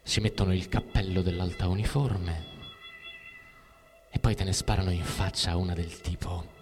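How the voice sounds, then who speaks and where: A man's voice: low-pitched, normal speed, natural voice. An actor playing a bitter, cynical everyman in a declamatory theatrical monologue with music. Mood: bitter, low. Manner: declamatory, swinging from muttering to shouting. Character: bitter, ranting, cynical everyman raging at the bosses. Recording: long reverberant hall, music behind.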